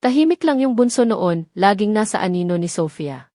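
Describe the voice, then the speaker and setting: A woman narrator voicing an older, knowing society matriarch in an audio drama, measured and composed, with a Filipino accent. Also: mid-range voice, expressive, mellow, dry recording, clean studio sound, close to an everyday voice. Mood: thoughtful.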